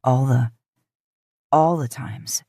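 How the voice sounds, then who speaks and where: A woman voicing a gruff, earnest young male firefighter in a fan fiction audiobook, with a halting, drawling delivery. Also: steady tone, mellow, no room sound, clean studio sound, full character voice. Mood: stunned. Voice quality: deep drawl.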